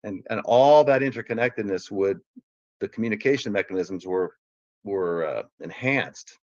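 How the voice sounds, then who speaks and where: A man's voice: fairly low pitch, normal speed, no echo, highs cut off. A man on a call, explaining at length in a rambling way that trails off and restarts. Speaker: caller. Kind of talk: casual call-in chat.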